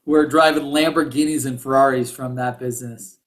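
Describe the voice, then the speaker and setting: A man's voice: mid-range voice, fairly even intonation, brisk, fairly bright tone. A man hosting an interview podcast in an upbeat, effusive and casual way. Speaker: podcast host.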